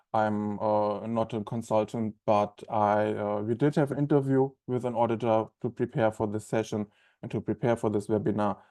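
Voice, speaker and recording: A man's voice: on the low side, dark tone. A young man with a German accent, presenting a webinar in a loose, conversational way with many ums and uhs. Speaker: onboarding specialist presenting a webinar.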